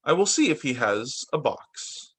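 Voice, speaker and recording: A man's voice: mid-range voice, big pitch swings, unhurried, a bit bright, husky, exaggerated voice. A man voicing cheerful, eager little alphabet-letter characters from a children's storybook, with a simple delivery.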